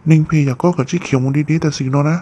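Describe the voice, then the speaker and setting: A man voicing a male character in an animated family drama, in a dull lo-fi recording. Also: fairly high pitch, fast talker, a little hiss or hum, lightly acted. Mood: conversational. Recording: dull lo-fi sound. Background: music.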